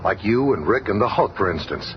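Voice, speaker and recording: An actor playing an earnest, courteous scientist in a 1970s superhero radio drama. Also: male voice, lowish voice, expressive, brisk, natural voice. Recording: dull, noisy 1970s radio recording.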